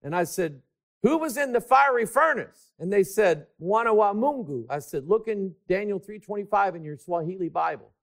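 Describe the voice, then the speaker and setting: A man preaches with a notably high voice that leaps between shouted emphasis and near-whispered asides. He stretches key words slowly to make a point. His delivery is lively and animated. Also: gravelly. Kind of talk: sermon.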